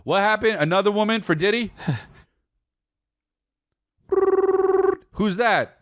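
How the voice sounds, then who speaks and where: A man's voice: high-pitched, huge pitch swings, takes it slowly, no echo, clean studio sound. A man hosting a comedy podcast, rambling casually in slang and profanity, scattered and often amused by his own jokes.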